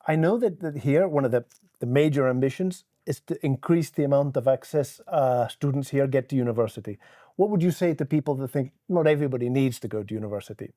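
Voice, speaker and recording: Relaxed, mellow-voiced male interviewer. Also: mid-range voice, fast talker. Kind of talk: interview questions.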